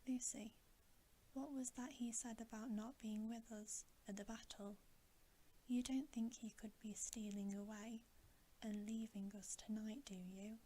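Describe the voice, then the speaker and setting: An anxious, tender young girl in a bedtime storybook, whispered by a woman reader. She speaks earnestly in a soft, mellow whisper, unhurried, with faint noise in the background. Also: fairly high pitch, steady tone, lightly acted. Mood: uneasy.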